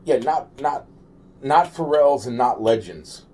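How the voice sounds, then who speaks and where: A man giving a rambling, informal monologue to camera, halting and repetitive, often trailing off and circling back, with slangy, profane wording. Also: mid-range voice, animated, darkish tone. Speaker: solo video maker.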